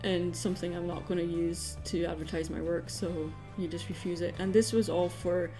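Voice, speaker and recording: A woman's voice: fairly low pitch, steady tone, normal speed. A woman hosting an art livestream, talking casually and informally as she works. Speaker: livestream host, commission artist. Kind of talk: casual livestream chat while drawing. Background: music.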